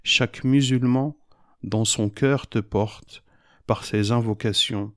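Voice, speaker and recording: A man reciting his own poem in a solemn, grave and measured way, pausing between short phrases. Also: medium pitch, fairly even intonation, dry recording, studio quality.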